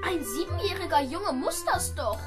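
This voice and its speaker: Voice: very high-pitched, sing-song, moderate pace, loud music behind the voice. A performer playing a polite, earnest seven-year-old boy in a children's radio play, careful and formal in manner. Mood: earnest, worried.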